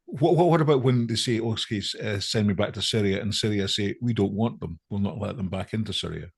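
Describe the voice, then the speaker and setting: A man's voice: lowish voice, a little fast, gravelly, husky. A male podcast host putting probing questions, often stumbling and repeating words as he starts them. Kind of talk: interview questioning.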